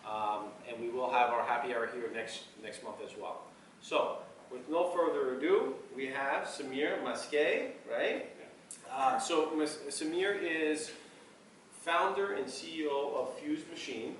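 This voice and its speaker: A man hosts an event and asks loose, rambling questions. He sounds far from the mic in a very echoey room, with steady noise behind him. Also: medium pitch, a little slow. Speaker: event host, interviewer. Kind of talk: casual onstage interview.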